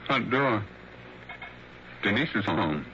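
A muffled, faintly hissy old radio recording of a sly, genteel writer. His low voice has a forward edge, and he talks hesitantly and teasingly, quietly scheming in sixties radio drama style. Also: lively intonation, takes it slowly, full character voice. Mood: intrigued, conspiratorial. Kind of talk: 1960s radio drama acting. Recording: dull, faintly noisy old radio broadcast.

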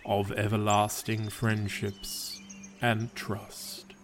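A man's voice: lowish voice, completely flat delivery, extremely slow, slight background noise. A man voicing a loyal male god of friendship who speaks in an earnest, soothing way. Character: devoted young male god, warm and loyal.

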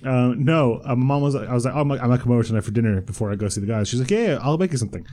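A man's voice: medium pitch, rapid-fire, warm tone. A young man from Croatia co-hosting a casual podcast, rambling with lots of restarts and easy laughter. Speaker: podcast co-host. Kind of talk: casual chat.

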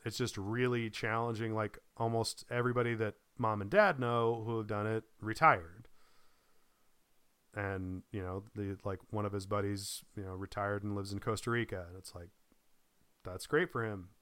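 A man with a lowish, smooth and resonant voice rambles through casual talk, full of ums, restarts and the odd swear. He is getting over a lost voice and coughs now and then. The sound is close and dry. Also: steady tone, moderate pace, a bit bright, dry recording. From Boise, Idaho. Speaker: podcast co-host. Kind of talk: casual chat.

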